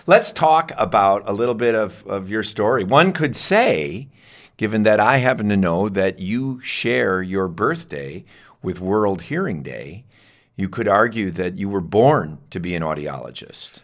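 A man's voice: fairly low pitch, lively intonation, a little slow. A middle-aged man hosting a podcast interview, talking in a friendly, teasing way. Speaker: podcast host.

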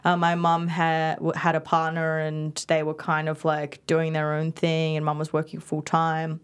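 A young woman from Bali talks quickly and casually as a podcast guest, her speech full of fillers and self-corrections. Her voice sits a bit low for a woman. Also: completely flat delivery, clean tone. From Bali, Indonesia. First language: Indonesian and Balinese. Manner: fast, chatty, frequent fillers and restarts. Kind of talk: casual interview conversation.

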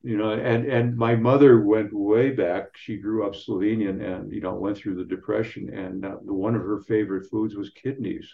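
An older man speaking as an interview guest, explaining and recounting in a conversational, halting way with repeated words. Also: on the low side, steady tone, gravelly, a little hiss or hum. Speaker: guest local historian. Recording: slightly dull, lo-fi sound.

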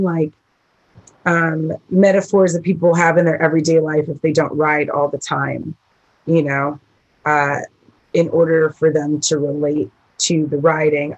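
A woman from the Midwest with a rough voice, chatting casually as a guest about teaching horse riding, rambling and laughing often. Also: fairly low pitch, a little mellow, rough recording. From American Midwest. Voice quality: rough, husky voice. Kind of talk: casual interview talk.

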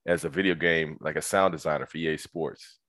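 Deep-voiced guy interviewing, easygoing, lots of "right, right", dry sound. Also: fairly quick, no room sound. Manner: relaxed, encouraging, frequent backchannels. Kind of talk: casual interview.

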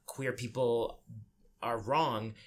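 A young man from Egypt speaking candidly as a podcast guest, in a reflective, halting way with pauses. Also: medium pitch, steady tone. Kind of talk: candid personal interview. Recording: clear background noise.

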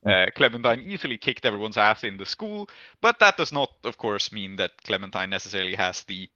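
A man with a very bright, forceful voice hosts a tabletop game podcast. He recaps casually, drifting into hesitant asides. The sound is dry and a little dull. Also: on the low side, lively intonation, brisk, dry recording. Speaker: podcast host and game master.

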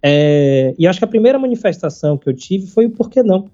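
A man, chatting casually as a podcast guest, halting and full of fillers and casual slang. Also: high voice, big pitch swings, warm tone. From Salvador, Brazil. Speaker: startup founder, podcast guest. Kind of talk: casual conversational interview. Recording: slightly muffled, faint background music.

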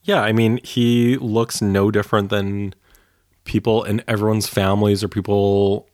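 A man co-hosting a podcast, chatting casually with quick, wry asides. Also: on the low side, flat delivery, a little mellow, no room sound, studio quality. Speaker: podcast co-host. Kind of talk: casual chat.

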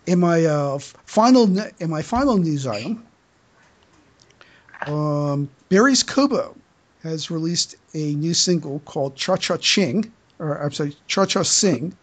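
Husky man hosting a podcast, halting delivery. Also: fairly high pitch, lively intonation. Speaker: podcast host reading news items. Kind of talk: casual, loosely led news segment.

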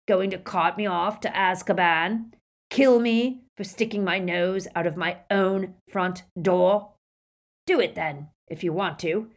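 A woman voicing a gruff, defiant old male pub barman in an audiobook, scornful and quick-tempered. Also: on the low side.

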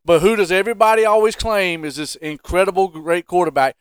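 A man's voice: high-pitched, fairly quick, clean tone, no room sound, clean studio sound. An American man hosting a sports podcast, debating casually and insistently with pointed rhetorical questions. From Carolina, United States.

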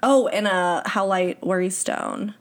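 A woman's voice: medium pitch, a little slow. A young American woman chatting casually and playfully as a podcast co-host. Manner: giggly, playful, informal. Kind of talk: casual chat. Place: home studio in a bedroom.

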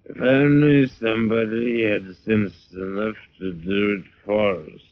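An actor playing a drunken, weary, gloomy drifter with a gravelly, halting voice in a 1940s radio drama. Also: male voice, fairly low pitch, steady tone, no room sound, natural voice. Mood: gloomy, fuddled. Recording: dull old radio recording.

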